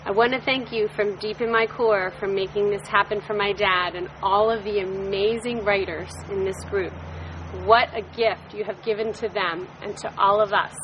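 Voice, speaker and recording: A woman reading aloud a heartfelt email she wrote, in a measured way, on stage. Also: mid-range voice, fairly even intonation, lo-fi sound, noisy background. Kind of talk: reading a letter to an audience.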